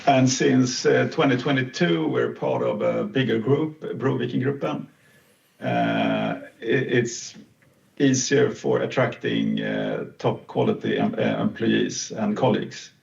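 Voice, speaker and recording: A middle-aged company founder presenting in a webinar, slow and hesitant with many fillers. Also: male voice, mid-range voice, big pitch swings, gravelly. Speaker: CEO and founder. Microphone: video call. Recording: distant dull video call audio.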